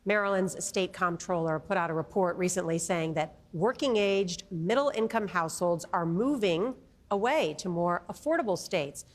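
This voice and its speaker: A woman's voice: fairly low pitch, normal speed. A woman working as an interviewer, putting a prepared question in broadcast style.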